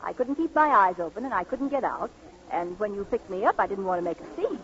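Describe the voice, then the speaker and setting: An actress playing a brisk, cool, outspoken young woman doctor in 1940s radio comedy style. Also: mid-range voice, very animated, fairly bright tone. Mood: cool, dry. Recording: dull vintage radio recording.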